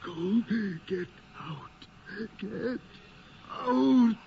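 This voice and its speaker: High-pitched, possessed man raving in gasps. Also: expressive, extremely slow, creaky, heavily acted. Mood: tormented, raving. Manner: fragmented gasped words, repetitive muttering. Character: dying possessed man, raving in broken words. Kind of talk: radio horror drama acting. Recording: muffled, noisy old radio recording.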